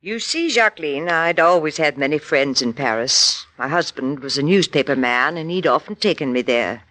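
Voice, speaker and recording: A woman's voice: sits low, animated, normal speed, fairly bright tone, dry recording. An actress playing an earnest, middle-aged widow telling her wartime story in a 1940s radio drama. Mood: earnest recounting. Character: widowed settled American lady recounting wartime adventure, earnest, cautious.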